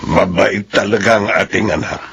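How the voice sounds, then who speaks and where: Creaky, protective old father. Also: fairly low pitch, steady tone, moderate pace, a bit bright, full character voice. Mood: gentle concern. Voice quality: creaky, gravelly. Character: kindly, protective elderly father and grandfather. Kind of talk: radio drama acting.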